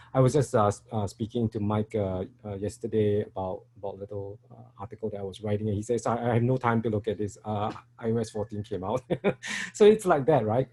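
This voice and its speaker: A man's voice: on the low side, mellow. A middle-aged man from Singapore with a Singaporean English accent, giving a talk at a developer meetup, hesitant with many fillers and restarts. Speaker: meetup speaker. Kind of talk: conference talk.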